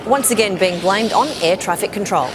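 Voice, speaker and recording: A woman's voice: medium pitch, moderate pace, noisy background. A woman with an Australian accent presenting scripted television news as an anchor.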